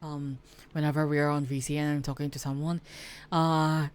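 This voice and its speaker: A woman's voice: sits low, little pitch movement, moderate pace, a little mellow, clear, resonant tone. A Filipino woman whose first language is Tagalog, chatting casually while streaming a game, hesitant and full of fillers and restarts. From Philippines.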